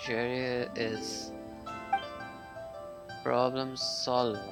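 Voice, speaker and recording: Male game streamer, young adult, casual, musing, unhurried halting delivery, loud music behind. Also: mid-range voice, little pitch movement. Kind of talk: casual live gameplay commentary. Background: video game music.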